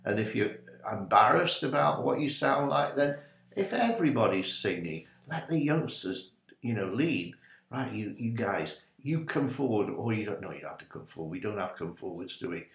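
Older man, minister, gravelly voice, slightly low, echoey small study, webcam, lively hand-waving teaching. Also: normal speed. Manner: animated, gesturing with both hands, ad-libbed. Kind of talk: informal teaching talk to camera. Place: small home study, indoors.

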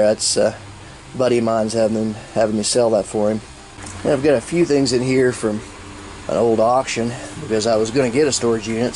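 A man giving a casual, folksy and excited walkthrough commentary, rambling as he goes like a vlogger. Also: mid-range voice. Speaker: YouTube vlogger and reseller. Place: metal storage unit, handheld camera. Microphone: handheld camera mic. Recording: noisy handheld camera audio.

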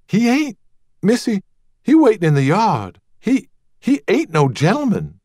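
Man voicing an anxious, high-pitched Southern maid. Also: wildly swooping pitch, unhurried, darkish tone, no echo, studio quality, exaggerated voice. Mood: anxious, urgent. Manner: urgent, stammering, Southern dialect. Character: anxious young enslaved maid, woman.

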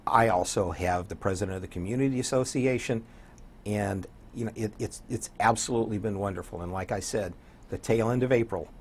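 An older man with an American accent and a hoarse voice, chatting as a radio DJ guest in an interview. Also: on the low side, brisk, a little hiss or hum. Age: 60s or older. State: hoarse, getting over an illness. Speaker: community radio program director and morning DJ, guest. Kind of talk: conversational interview. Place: small indoor TV studio.

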